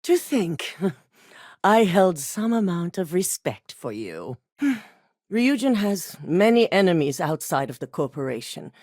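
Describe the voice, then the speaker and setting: A woman voicing a cool, calculating corporate executive in a video game, speaking in a measured, businesslike way. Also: on the low side, darkish tone, no echo, studio quality. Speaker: game character.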